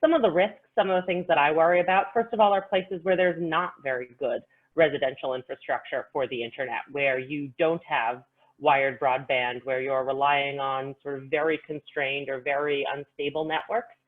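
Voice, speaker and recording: A woman giving articulate, measured explanations as an expert panelist on a video call. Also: deep voice, fairly even intonation. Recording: narrow, phone-like call audio.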